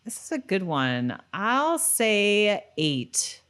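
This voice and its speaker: A woman's voice: medium pitch, sing-song, very slow, fairly bright tone. A woman co-hosting a podcast in casual conversation, easygoing and agreeable, often chiming in briefly. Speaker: podcast co-host. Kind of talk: casual rewatch podcast chat.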